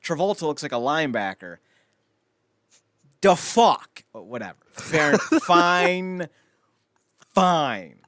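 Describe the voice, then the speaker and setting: A man's voice: a little high, sing-song, takes it slowly, fairly bright tone, dry recording. Guy hosting a podcast, casual and rambly, blunt wry takes, dry close recording. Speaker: podcast host. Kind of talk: casual podcast chat about films.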